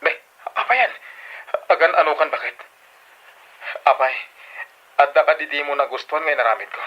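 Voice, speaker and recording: A man's voice: on the high side, a little fast, slight background noise. A man with an Ilocano accent acting a bewildered, pleading boyfriend in a radio drama, heard through a telephone filter. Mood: puzzled, annoyed. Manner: questioning, pleading. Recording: dull telephone-like band-limited sound.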